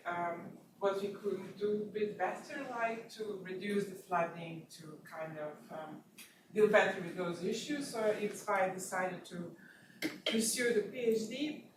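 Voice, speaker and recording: A woman from Bosnia, giving an academic seminar talk in English with many fillers and restarts. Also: mid-range voice. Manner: frequent fillers and restarts, slightly non-native phrasing. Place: seminar room. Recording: echoey room, mic at a distance, noisy.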